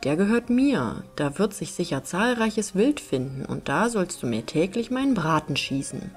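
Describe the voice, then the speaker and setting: A woman's voice: lowish voice, sing-song, normal speed, soft background music. A woman voicing a lordly, formal master of an enchanted castle in a storybook reading. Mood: commanding. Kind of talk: fairy-tale storybook reading.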